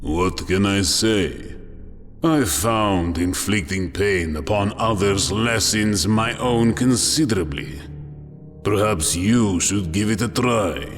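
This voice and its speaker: An actor playing a cruel, mocking space marine who taunts with a sneer in a dramatic audio story. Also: male voice, fairly low pitch. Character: cruel, mocking, sadistic male Night Lord space marine. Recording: long cavernous reverb, music underneath.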